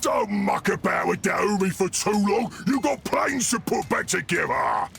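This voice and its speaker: A man voicing a belligerent, bullying ork warboss in a video game, gruff, gravelly and shouting. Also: high-pitched, lively intonation, moderate pace, soft background music, heavily acted. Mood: bossy. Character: loud, belligerent male ork warboss pilot, greedy and bullying. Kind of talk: video game character acting.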